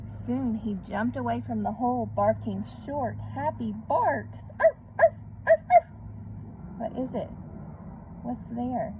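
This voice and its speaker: A woman reading a storybook aloud to children in a gentle manner, pausing to put questions to her young listeners. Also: fairly high pitch, poor audio. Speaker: read-aloud host for children. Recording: background noise.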